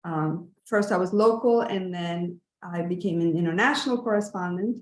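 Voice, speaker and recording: A woman from Cuba, a former television news correspondent, introducing herself casually with a measured, polished delivery. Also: on the low side. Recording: echoey room.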